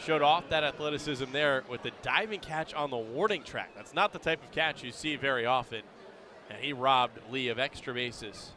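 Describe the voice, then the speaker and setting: An American man giving measured, explanatory color analysis during a live baseball broadcast. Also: medium pitch, sharp tone. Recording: busy ballpark crowd behind the voice. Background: clear crowd noise.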